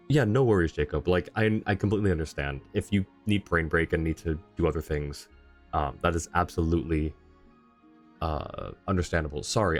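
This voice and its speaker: A man live streaming a game, chatting casually with viewers in an easygoing, rambling way and reading comments aloud. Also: deep voice. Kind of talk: casual chat with viewers while gaming.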